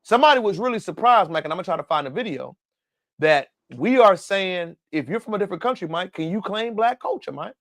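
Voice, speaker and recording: A man co-hosting a casual political livestream, agreeing loudly and calling his co-host by name again and again. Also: sits high, animated, quick pace, dry recording, studio quality. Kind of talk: casual political debate chat.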